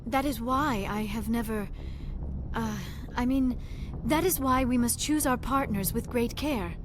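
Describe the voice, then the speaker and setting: A woman's voice: fairly high pitch, unhurried, background noise, close to an everyday voice. A woman playing a shy, earnest young alien scientist in a video game, speaking formally and carefully. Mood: flustered, shy. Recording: loud music behind the voice.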